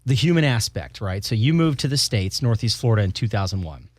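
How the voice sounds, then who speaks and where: Quick, excitable male podcast host, dry studio mic. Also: on the low side, dry recording. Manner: enthusiastic and jokey. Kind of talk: casual interview chat.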